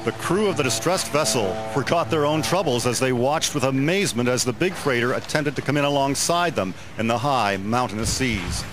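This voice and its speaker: A man's voice: medium pitch, expressive, a little fast, hardly any pauses, music in the background. A man narrating a historical drama in a scripted storytelling style. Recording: very loud sea and wind effects behind.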